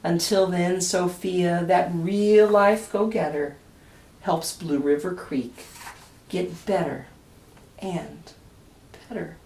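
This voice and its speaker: A woman reading a children's picture book aloud expressively, with deliberate dramatic pauses and emphasis. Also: fairly low pitch, fairly even intonation, darkish tone. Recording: echoey room, distant microphone.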